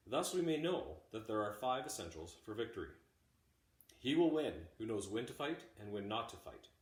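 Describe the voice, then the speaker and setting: A man reading a classic text aloud like a teacher, in measured, deliberate phrases. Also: lowish voice. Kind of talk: audiobook-style read-aloud of a classic text. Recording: roomy, echoey home recording.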